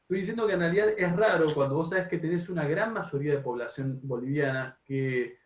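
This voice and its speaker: Echoey, distant Argentine man teaching. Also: on the high side. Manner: halting, often repeating and rephrasing words. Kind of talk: informal class discussion. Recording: echoey room, distant from microphone.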